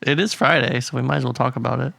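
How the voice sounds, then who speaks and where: A man's voice: mid-range voice, very fast, vocal fry, a bit bright, dry recording. A man co-hosting a podcast about music, chatting casually with blunt opinions and frequent laughs. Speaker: podcast co-host. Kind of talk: casual chat.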